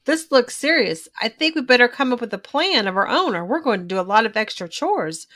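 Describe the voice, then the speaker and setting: A woman's voice: on the high side, lively intonation, a little fast, a bit bright. A woman voicing a young boy bear cub in a children's storybook, scheming and mock-polite.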